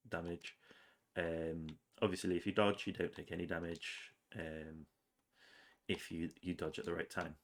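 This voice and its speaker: A man with a cold, casually narrating his game's progress over gameplay with plenty of ums and uhs. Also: sits low. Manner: relaxed, rambling with many ums and uhs. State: has a cold, sniffly. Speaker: game developer.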